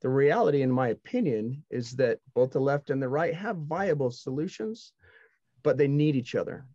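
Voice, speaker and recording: A man's voice: medium pitch, expressive, moderate pace, mellow, no room sound. A middle-aged man speaking as a panelist, explaining his views earnestly and emphatically. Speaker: discussion panelist. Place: indoors, dark backdrop. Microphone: headset on video call. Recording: dull video call audio.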